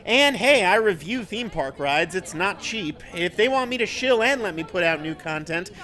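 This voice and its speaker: Actor as cynical male reviewer, high voice, wry delivery, comedy audio drama. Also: expressive, normal speed, bright, forceful tone.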